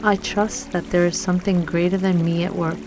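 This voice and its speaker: A woman leading a scripted guided meditation with affirmations in a gentle, soothing manner, leaving long pauses between phrases. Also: lowish voice, little pitch movement. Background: music and trickling water.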